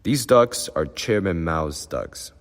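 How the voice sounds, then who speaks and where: A man's voice: sits low, expressive, slow pace, in a large hall, full character voice. A man voicing a defiant old male peasant who talks in a blunt, coarse way. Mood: shouting. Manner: blunt, coarse, toneless at times.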